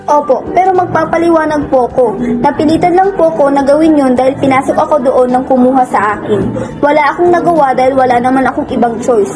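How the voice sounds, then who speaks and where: A young female student, played by a woman in an amateur radio drama. Her voice sits high, with clear music playing behind it. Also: quick pace, lightly acted, poor audio. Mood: apologetic.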